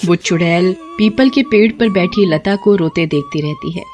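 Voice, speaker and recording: A woman's voice: fairly low pitch, lively intonation, normal speed, a little mellow, faint music behind. A woman narrating a scripted tale as a storyteller.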